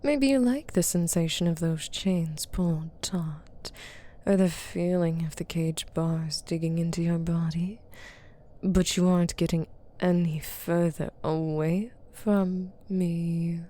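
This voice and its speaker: A woman voicing a stern, taunting female demon captor in an ASMR roleplay, authoritative and teasing, often dropping to a breathy murmur. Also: on the low side, steady tone, slow, dark tone. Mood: menacing, coaxing. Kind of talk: ASMR roleplay monologue to the listener.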